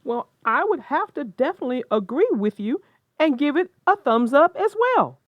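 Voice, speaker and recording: A woman's voice: sits high, very animated, normal speed, no room sound. A woman narrating and hosting a children's read-aloud, telling and discussing the story expressively. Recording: dull lo-fi sound, soft guitar music.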